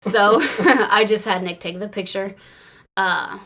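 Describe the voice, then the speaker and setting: An American woman casually telling excited personal stories in an animated, giggly way, peppering her speech with "like". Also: mid-range voice, slow. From Illinois, United States. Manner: animated, giggly, says "like" often. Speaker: podcast crew member telling fan stories.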